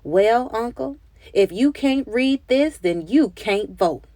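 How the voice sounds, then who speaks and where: A woman storyteller puts on a bullying male deputy from a storybook. The voice is raised in pitch and volume, stern and commanding, with curt delivery and a dry, close sound. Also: expressive, slow pace, warm tone, no echo. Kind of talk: children's storybook character voice.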